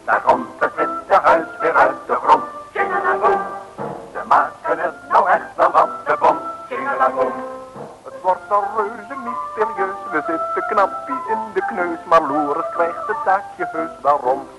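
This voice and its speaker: Jaunty, high, rhyming narrator. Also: male voice, big pitch swings, a little slow, creaky, pauses often, bright, forceful tone, soft background music, heavily acted, rough recording. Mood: jaunty, playful. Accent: Dutch. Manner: playful, rhythmic, rhyming. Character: jaunty male rhyming narrator of comic serial. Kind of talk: musical verse narration for radio serial.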